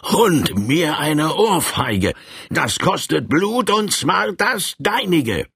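A loud, swaggering colonel bully pitched well above a normal speaking voice, as a male narrator puts him on, blustering and boastful. He swings his pitch wildly and barks his lines with a slightly husky edge, like a hot-headed villain in a spoken storybook. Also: animated, slow pace, dry recording, studio quality, heavily acted. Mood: furious. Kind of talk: audiobook character voice.

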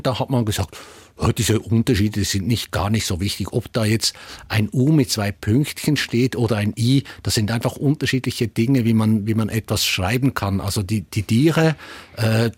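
A man's voice: fairly low pitch, darkish tone, breathy edge, no echo. A man from Switzerland with a Swiss German accent, a dialect expert explaining at length, thoughtful and hesitant with many fillers and restarts. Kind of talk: explanatory talk-show conversation.

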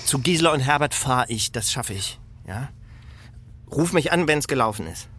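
A man's voice: medium pitch, expressive, moderate pace, a bit bright, breathy edge. An actor playing a harried, blunt middle-aged father and career man in a naturalistic radio drama. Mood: taken aback.

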